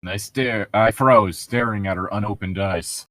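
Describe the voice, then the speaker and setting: A man's voice: on the low side, unhurried, fairly bright tone, no echo. A man doing casual, mocking read-along commentary as a show host, jokey and profane as he rambles. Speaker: host of a comedic book-reading show.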